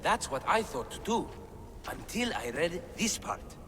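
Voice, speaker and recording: An eager scholar. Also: male voice, fairly high pitch, big pitch swings, a little slow, pushed and bright, in a large hall, a little hiss or hum, full character voice. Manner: enthusiastic, scholarly, talks up his discoveries. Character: eager male scholar deciphering ancient writing. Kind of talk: game cutscene acting.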